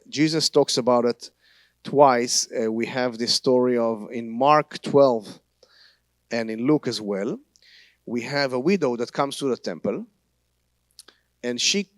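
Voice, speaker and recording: A man from Israel with a Hebrew accent, a guest speaker and tour guide giving a church teaching in halting, non-native English full of restarts. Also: mid-range voice, no room sound.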